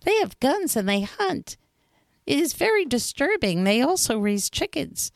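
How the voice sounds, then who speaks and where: A gentle, lonely male fox from a storybook, as a woman puts him on, pleading to be befriended. The voice sits very high and swoops in a lively sing-song. It sounds close and dry. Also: measured pace, no echo, full character voice. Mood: earnest pleading. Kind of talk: storybook reading.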